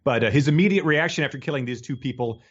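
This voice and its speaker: A man's voice: medium pitch, fast talker, highs cut off. A man co-hosting a podcast in casual, wry discussion of a book, often rephrasing mid-sentence. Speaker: podcast co-host.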